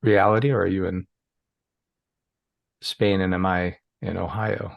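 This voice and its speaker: A man's voice: low-pitched, flat delivery, a little slow, creaky, highs cut off. A man in a playful, musing conversation as a guest on a video call, thinking aloud and joking lightly. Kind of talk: casual conversation.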